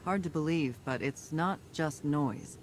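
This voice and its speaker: A woman's voice: sits low, unhurried. An actress playing a tough, determined female field commander in a video game, speaking in a terse, resolute military manner. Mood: grave, resolute. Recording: loud music and noisy game ambience behind.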